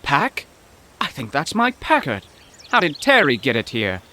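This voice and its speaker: Anxious bookish professor, bright tense voice, very animated, theatrical radio play style, echoey. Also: male voice, fairly high pitch, measured pace, full character voice. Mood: wondering. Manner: fretful, literary, theatrical. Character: anxious, wordy, poetic male professor in a 1930s adventure. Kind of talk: 1930s radio drama acting. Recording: echoey, slight background noise.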